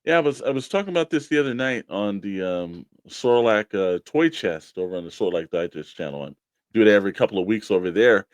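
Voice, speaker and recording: A man's voice: on the low side, animated, a little fast, dry recording. An American man co-hosting a toy collecting show in casual, informal conversation with spoken emphasis. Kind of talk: casual radio-show chat.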